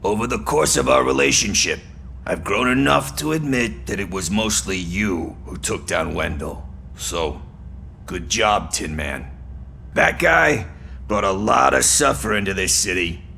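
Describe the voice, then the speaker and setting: A cocky, weary cop sidekick for a video game. The voice is creaky and bright, with wry, self-satisfied delivery and faint noise behind. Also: male voice, lowish voice, lively intonation, measured pace, heavily acted. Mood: wry, sincere. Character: cocky, wisecracking but weary male rookie cop partner. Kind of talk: video game cutscene acting.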